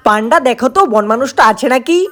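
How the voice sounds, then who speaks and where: A woman voicing all the characters of a cartoon story, playful and quick to switch between childlike figures. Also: fairly high pitch, expressive. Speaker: cartoon voice artist and channel narrator. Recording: over loud background music.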